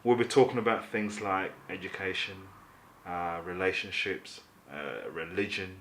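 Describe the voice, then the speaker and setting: A man's voice: low voice, moderate pace, a bit bright, slight background noise. A man from Uganda co-hosting a casual, opinionated discussion, explaining his views conversationally with frequent "you know". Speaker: talk show co-host.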